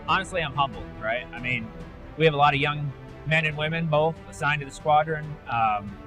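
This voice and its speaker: An American man in his forties, a military officer, speaking earnestly and in measured explanations in a documentary interview. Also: a little high, over music.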